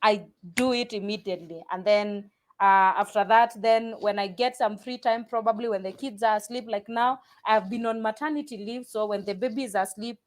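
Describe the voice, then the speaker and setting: A woman from Kenya, a pastor talking casually in an interview, often restarting sentences and repeating words. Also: medium pitch. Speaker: pastor and guest.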